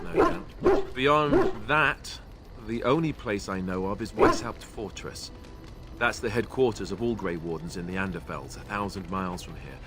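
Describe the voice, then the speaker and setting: A man voicing a young male warrior and former templar trainee in a fantasy video game, speaking with wry, self-mocking humor. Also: on the low side. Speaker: companion character in a video game. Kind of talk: voiced game dialogue. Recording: game audio over background music. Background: game soundtrack music.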